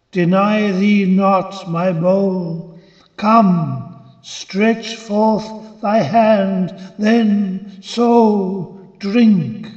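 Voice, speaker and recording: A man reciting dramatic poetry as a commanding, seductive enchantress goddess who coaxes gently in stately, measured phrases. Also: sits high, echoey room, long reverb tail. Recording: dull lo-fi, dry close recording.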